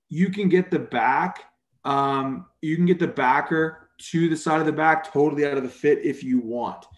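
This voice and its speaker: A man presents a football coaching clinic over a video call and walks through defensive schemes. His tone is casual and explanatory, full of small fillers. His voice sits in the middle of the range. The room around him sounds noticeably echoey. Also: medium pitch. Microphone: video call microphone.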